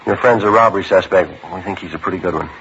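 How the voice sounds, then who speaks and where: An actor playing a terse police sergeant who narrates in a flat, clipped, deadpan manner, in 1950s radio drama style. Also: male voice, deep voice, fast talker, slight background noise. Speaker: detective narrator. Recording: muffled old radio broadcast.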